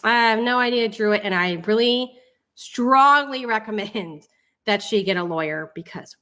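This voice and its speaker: A woman's voice: a little high, a little slow, pushed and bright. A woman hosting a live online commentary, moving between reading aloud and casual asides in an informal, sarcastic, mocking way. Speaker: livestream host.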